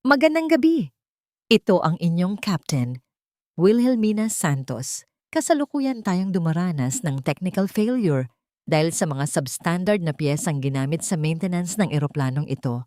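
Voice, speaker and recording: A woman's voice: lowish voice, sing-song, moderate pace, a little mellow, no echo, clean studio sound. Woman voicing a firm, commanding Filipina airline captain. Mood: authoritative. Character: resolute, authoritative female airline captain.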